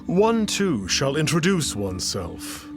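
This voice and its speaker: A man's voice: fairly high pitch, wildly swooping pitch, takes it slowly, over music, heavily acted. A man voicing an aloof, pompous self-styled immortal mystic in a video game, with archaic formal phrasing. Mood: grandiose. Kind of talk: theatrical video game acting.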